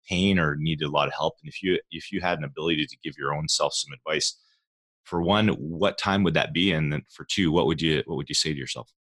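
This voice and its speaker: A man in his forties, a guest on a podcast, chatting in an animated way and repeating words as he goes. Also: low-pitched, no room sound. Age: 40s. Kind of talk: casual interview chat.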